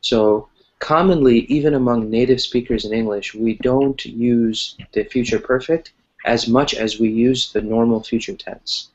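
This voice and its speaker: A man's voice: mid-range voice, fairly even intonation, measured pace, muffled recording. An American man teaching an online English class, explaining conversationally and encouragingly, often checking in as he goes. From United States.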